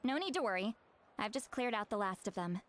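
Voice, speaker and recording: A woman's voice: medium pitch, expressive, brisk, very noisy, close to an everyday voice. Actress playing a solemn young shaman woman. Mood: brisk, reassuring. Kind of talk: scripted video game voice acting.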